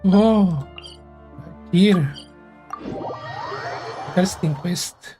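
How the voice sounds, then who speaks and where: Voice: mid-range voice, very slow, dark tone, faint music behind. A game streamer giving short, casual reactions while playing.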